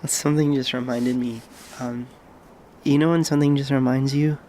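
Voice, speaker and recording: Seeking male actor for a dreamy young man, a lonely janitor: mid-pitched, hushed, hesitant and wistful, intimate radio drama over background noise. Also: fairly even intonation, close to an everyday voice. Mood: wistful, confiding. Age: young adult. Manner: hesitant, halting, hushed confiding storytelling. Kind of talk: intimate audio drama monologue.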